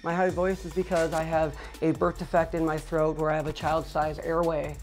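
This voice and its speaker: A middle-aged man with a high voice, speaking matter-of-factly in an interview, with frequent fillers. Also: little pitch movement, normal speed, soft background music, poor audio. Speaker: record holder. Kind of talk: interview monologue. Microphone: lapel.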